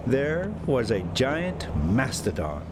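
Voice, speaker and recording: A man reading a storybook aloud with folksy, self-mocking asides, halting over the hard words. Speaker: story reader and host. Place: inside a moving diesel motorhome on a city drive. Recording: clear vehicle rumble behind voice. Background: motorhome engine and road noise.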